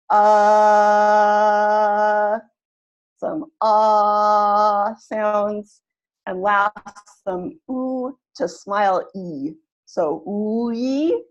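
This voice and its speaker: A woman, presenting an online webinar for teachers in a friendly, instructive way. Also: medium pitch. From California, USA. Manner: friendly, instructive, leads warm-up exercises. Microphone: computer mic on video call. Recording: dull lo-fi webinar audio.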